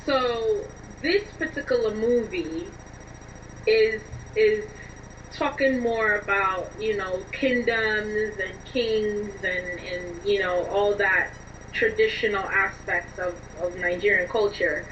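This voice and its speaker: A woman answering interview questions conversationally, in an expressive, emphatic way. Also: mid-range voice, slow pace. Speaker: interview guest, scriptwriter and film blogger. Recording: dull, distant, noisy line.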